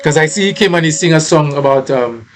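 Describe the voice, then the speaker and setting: A man's voice: a little high, fast talker, faint music behind. Saint Lucian man chatting casually. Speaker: musician being interviewed. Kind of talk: casual interview chat.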